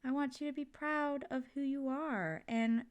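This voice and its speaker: A woman's voice: high-pitched, unhurried. A woman playing a supportive, playful mother in an ASMR comfort roleplay, talking casually and reassuringly to her kid. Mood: warm, encouraging. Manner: casual, reassuring, jokey, gently expressive. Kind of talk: ASMR comfort roleplay, one side of a conversation.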